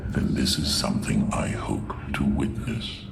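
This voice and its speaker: A man playing a bitter, haughty alien warlord in a video game, with a gravelly voice and disdainful, menacing theatrical delivery. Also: extremely low pitch, a little slow, noisy background, exaggerated voice. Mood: scornful, haughty. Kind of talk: dramatic video game acting. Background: music.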